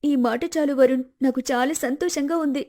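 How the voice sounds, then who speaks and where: A woman performs a scheming young wife in an animated moral story. Her voice is high, and she speaks with melodramatic, pleading false innocence. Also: a little fast, natural voice. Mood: smug confiding.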